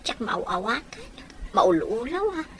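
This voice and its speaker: An actress with an Ilocano Filipino accent playing a young pregnant wife, weary and slightly petulant, in a radio drama. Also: female voice, on the high side, very animated, slow pace, fairly bright tone, exaggerated voice. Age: young adult.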